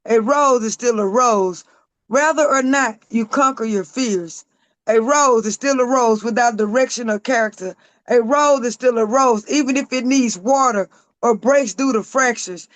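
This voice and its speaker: A woman with a raspy, hoarse voice, a guest author reading her own poems aloud in a slow, emphatic spoken-word style. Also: fairly high pitch, dry recording.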